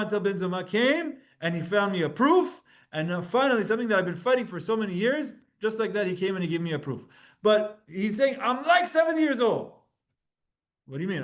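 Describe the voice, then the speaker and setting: A man teaching a lively Talmud class, switching between casual English and Hebrew terms and acting out the quotes with sudden bursts of energy. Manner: animated, acts out quotes with sudden jumps in pitch and loudness. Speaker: Torah teacher.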